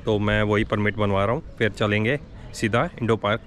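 A man's voice: on the low side, steady tone, normal speed, fairly bright tone. A man, giving chatty travel vlog commentary into a handheld camera, in a car and outdoors. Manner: chatty tour-guide commentary, mixing English words into Hindi. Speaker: travel vlogger. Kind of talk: casual travel vlog narration. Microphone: handheld camera at arm's length. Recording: outdoor camera audio with clear vehicle and wind noise.

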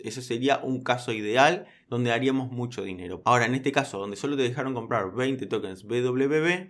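A man with an Argentine accent, walking viewers through a crypto platform in a casual, direct tutorial voice. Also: medium pitch. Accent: Argentine Spanish. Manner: quick, direct second-person explainer. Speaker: crypto YouTuber. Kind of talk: screen-recorded tutorial.

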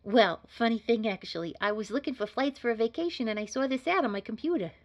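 A woman's voice: on the high side, animated, fast talker. A woman playing a casual, unassuming job candidate in an audio roleplay, chatting informally and a little hesitantly. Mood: chatty, easygoing. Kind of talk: scripted audio roleplay drama.

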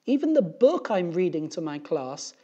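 A man's voice: fairly high pitch, big pitch swings, moderate pace, warm tone, lo-fi sound, pitched above the performer's own voice. A man voicing an aggrieved, unappreciated woman schoolteacher in a plaintive, complaining way for a children's storybook. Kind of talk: children's storybook character reading.

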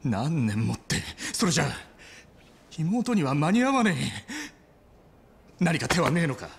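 Intense, wildly expressive young yakuza. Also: male voice, on the high side, measured pace, full character voice. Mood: anxious. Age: young adult. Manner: intense, rough-spoken, pleading. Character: desperate, hot-headed young yakuza man pleading. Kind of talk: dramatic video game cutscene acting.

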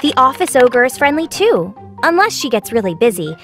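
A woman voicing an excitable, chatty young giant schoolgirl in an animated children's story. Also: sits high, normal speed, faint music behind. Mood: chatty, confiding.

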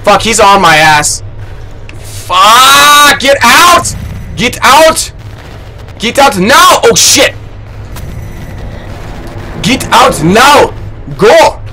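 A young man streaming a horror game, swearing and shouting in panic, talking into a headset in a small room. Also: very high-pitched, very animated, drawn-out words, long pauses, soft background music. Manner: swears a lot, yells in panic. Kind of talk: live horror game commentary.

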